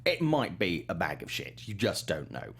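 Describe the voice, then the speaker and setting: A man's voice: low-pitched, wildly swooping pitch, moderate pace, fairly bright tone, slightly rough. A man hosting a movie news talk show, chatting casually in an informal, wry and blunt way. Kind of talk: casual panel chat.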